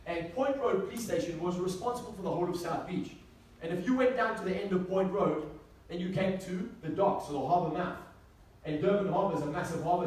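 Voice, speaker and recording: High-pitched man in an echoey room. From South Africa. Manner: emphatic, repeats key phrases, rhetorical questions. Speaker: motivational speaker. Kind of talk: motivational seminar talk to a live audience. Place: echoey indoor venue with an audience. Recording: echoey room, distant mic, faint noise.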